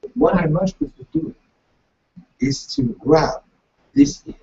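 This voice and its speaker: A man with a big voice, teaching a ritual step by step in a lively way and switching between French and English as he explains. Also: very high-pitched, a little slow, gravelly, dull audio. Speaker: meditation teacher.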